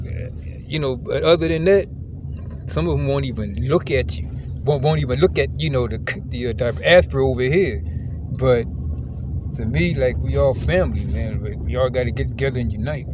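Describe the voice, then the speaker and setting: A man's voice: medium pitch, normal speed. An American man, the video's host, asking questions in casual conversation inside a car, restarting his words often. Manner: inquisitive, conversational, repeats words when starting. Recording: faint car noise.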